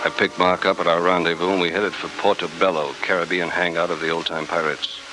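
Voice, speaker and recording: An actor playing a hard-boiled American adventurer in 1940s radio style, clipped, tough and wry. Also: male voice, deep voice, little pitch movement, fast talker, over music, lightly acted. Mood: wry narrating. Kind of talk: 1940s radio acting, scripted first-person narration. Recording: very noisy 1949 radio transcription.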